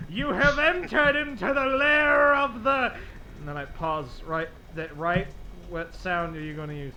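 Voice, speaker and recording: A man playing an excitable, theatrical gamer in a comedy skit, switching between bombastic announcing and offhand asides. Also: sits high, a little slow, slight background noise, full character voice, low-quality recording. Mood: offhand, instructing. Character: excitable, theatrical male gamer recording his show intro. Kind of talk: comedic animated audio skit.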